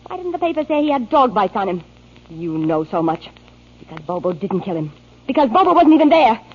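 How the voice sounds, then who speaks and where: Defiant young bride, dramatic swooping intonation, old radio play, lo-fi recording. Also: female voice, medium pitch, very animated, a little fast, breathy edge. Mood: accusing, defiant. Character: passionate, defiant young woman, devoted bride. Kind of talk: 1940s radio drama acting. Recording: dull 1940s radio broadcast recording.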